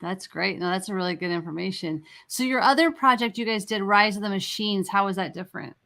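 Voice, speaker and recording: A woman's voice: mid-range voice, fairly quick, gravelly, sharp tone. A woman moderating a casual interview, asking questions in an informal, engaged manner.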